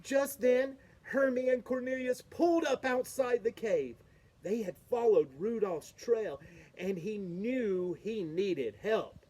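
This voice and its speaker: A woman reading a children's picture book aloud, slow and expressive. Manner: slow, expressive, lively pitch swings. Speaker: storybook reader. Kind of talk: children's read-aloud narration.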